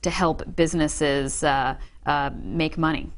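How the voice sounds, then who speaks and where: A woman's voice: low-pitched, steady tone, slow. A woman hosting a political call-in show, moderating in a neutral, businesslike way.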